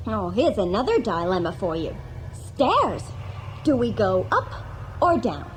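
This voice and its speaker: A woman's voice: medium pitch, big pitch swings, unhurried. An actress playing a feisty, exasperated young woman in a radio drama, indignant and plain-spoken. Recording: faintly noisy 1980s radio drama.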